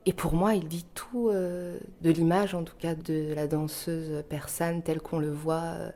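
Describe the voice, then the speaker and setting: A deep-voiced woman from northern Iran in an arts radio interview, feeling her way through each answer with pauses. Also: steady tone, dark tone. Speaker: interview guest, dancer and choreographer.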